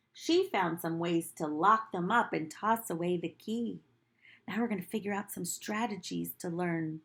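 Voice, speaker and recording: A woman reads a children's picture book at a slow, careful pace. Her delivery is animated and teacherly, made for small listeners, and her voice sits at a medium pitch. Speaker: nursery school teacher reading aloud. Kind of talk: expressive picture-book read-aloud for young children, with asides.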